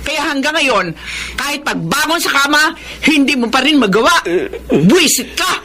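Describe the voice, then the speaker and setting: Isang babaeng gumaganap bilang may-edad na inang may lihim na relasyon at mabilis mainis, sa dramang panradyo. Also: female voice, high-pitched, lively intonation, moderate pace, pushed and bright. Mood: exasperated, scolding. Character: older mother in a secret affair, impatient, sharp-tongued caregiver. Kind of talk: Tagalog radio drama acting.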